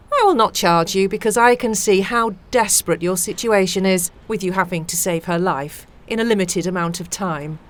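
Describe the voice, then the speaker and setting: A woman playing a helpful, chatty former pilot in an amateur audio play, speaking in a deliberate, friendly way. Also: mid-range voice, slight background noise, lightly acted. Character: helpful, chatty female ex-pilot offering a rescue flight. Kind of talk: amateur audio drama acting.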